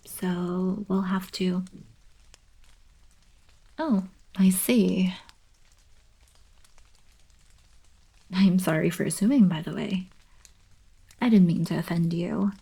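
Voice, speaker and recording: A young woman playing a friendly, eager alien princess in an ASMR roleplay, soft and whispery, with casual girlish coaxing. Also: lowish voice, steady tone, slow pace, vocal fry, pauses often, breathy edge, full character voice, low-quality recording. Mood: gently concerned, then soft, apologetic. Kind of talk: ASMR roleplay, intimate acted monologue.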